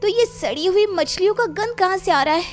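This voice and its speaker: A woman's voice: very high voice, animated, a little fast, faint music behind. A woman playing an emotional young college student in a cartoon story. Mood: distressed, puzzled. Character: Kanishka and friends, emotional young college women.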